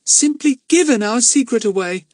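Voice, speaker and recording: A man's voice: lively intonation, normal speed, a little mellow, dry recording, studio quality. A man voicing an outspoken, indignant young girl in a storybook reading. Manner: high, emphatic and indignant.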